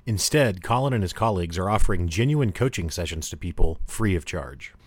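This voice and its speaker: A man with a fairly low voice hosts an interview podcast. He talks in a conversational presenter style, and the sound is dry, with very little room in it. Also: expressive, a little fast, husky, no echo. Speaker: podcast host.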